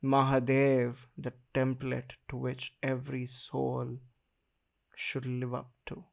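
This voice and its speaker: A man delivers spoken-word poetry through a thin, phone-like recording. His pace is very slow and his voice has a heavy creak. He speaks dramatically, setting short phrases apart with weighty pauses. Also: medium pitch, completely flat delivery. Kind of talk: slam poetry recitation in the first person.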